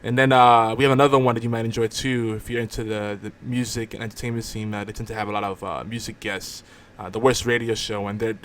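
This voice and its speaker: A man's voice: lowish voice, a bit bright. A man hosting a casual podcast, bantering in a rambling way with repeated words and restarts. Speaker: podcast host. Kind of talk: casual banter on a live online show.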